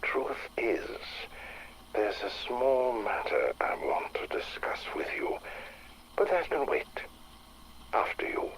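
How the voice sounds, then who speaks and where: An actor playing an urbane, fussy English gentleman explorer with an upper-class accent, measured and pompous in a period audio drama. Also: mid-range voice, sing-song, a little hiss or hum, exaggerated voice, low-quality recording. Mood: genial, self-satisfied.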